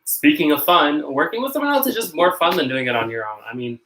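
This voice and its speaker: A young North American man with a North American accent, chatting casually to camera on a live stream, rambling and self-conscious, with quick laughs. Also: a little high, lively intonation, a bit bright, slight background noise, rough recording. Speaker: channel host.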